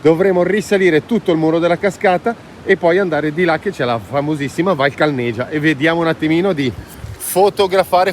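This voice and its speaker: A man chats informally and playfully as he hosts a casual travel vlog. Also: fairly high pitch. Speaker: vlog host. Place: outdoors in a mountain valley. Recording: clear outdoor ambient noise.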